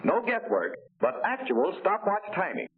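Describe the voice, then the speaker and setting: Enthusiastic 1940s salesman, higher-pitched, polished declamatory pitch, tinny noisy old film soundtrack. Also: wildly swooping pitch, moderate pace. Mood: boastful, enthusiastic. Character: enthusiastic male car salesman showing off luxury models. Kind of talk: 1940s promotional film narration.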